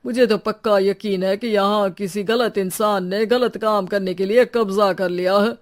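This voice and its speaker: A woman's voice: medium pitch, fairly even intonation, moderate pace, natural voice. Woman acting a sceptical, teasing neighbourhood man. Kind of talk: Hindi cartoon story acting.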